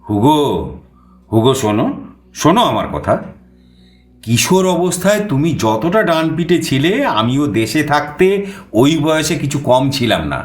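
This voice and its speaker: An actor playing an adventurous, eager explorer who narrates his own suspense audio story in an expressive manner. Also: a little high, moderate pace, long pauses, lightly acted. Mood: persuasive, insistent. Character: male first-person narrator, adventurous, eager explorer visiting Paris. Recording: echoing voice over background music.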